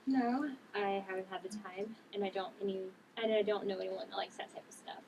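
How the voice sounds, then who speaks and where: A woman's voice: mid-range voice, fairly even intonation, fairly quick, creaky, darkish tone, lightly acted. Young superheroine female actor, table read: playful, everyday delivery, distant noisy recording. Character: playful, newly super-strong young heroine.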